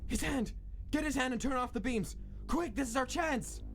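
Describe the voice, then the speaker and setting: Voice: sits high, normal speed. An actor voicing a desperate, fiercely protective young skeleton brother in a dramatic comic dub. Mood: frantic, pleading. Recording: loud music underneath.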